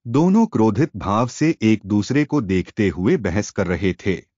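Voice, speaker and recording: A low-voiced man narrates a traditional moral story. His delivery is formal and literary, with very animated pitch movement. The recording is close and dry but somewhat dull. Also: measured pace, no echo. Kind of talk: scripted narration of a Hindi moral tale.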